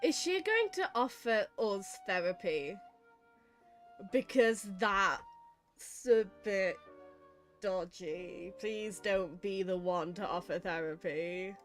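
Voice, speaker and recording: A woman's voice: mid-range voice, slow, faint music behind. A woman with a British accent giving casual, chatty, wry commentary while playing a game. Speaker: psychologist streaming a game.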